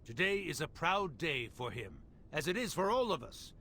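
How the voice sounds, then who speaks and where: An actor playing an upbeat, honorable imperial official in a fantasy game, eager and ceremonious. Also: male voice, fairly high pitch, sing-song, normal speed, bright, forceful tone, a little hiss or hum, full character voice. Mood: eager, proud. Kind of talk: fantasy game cutscene acting.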